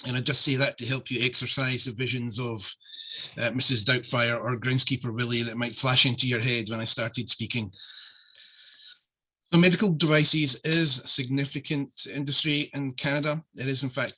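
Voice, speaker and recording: A man's voice: medium pitch, fairly even intonation, normal speed, pushed and bright, slightly rough, low-quality recording. A man with a Scottish accent giving a friendly, loosely spoken webinar presentation as a trade office deputy director, on a video call. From Scotland. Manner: friendly, loose, with many uh fillers.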